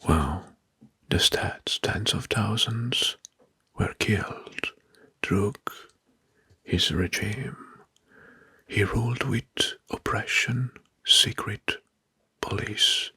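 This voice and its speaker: A man narrating history as ASMR in a breathy, hushed whisper, slowly and deliberately, softly repeating words. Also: lowish voice, animated, no room sound.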